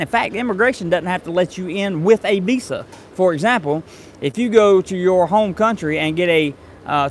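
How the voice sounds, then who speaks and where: A man's voice: a little high, fairly bright tone. Chatty man on a live stream. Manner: rambling, full of fillers and restarts. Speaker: travel vlogger reading live chat. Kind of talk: casual live stream chat. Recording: faint street noise behind.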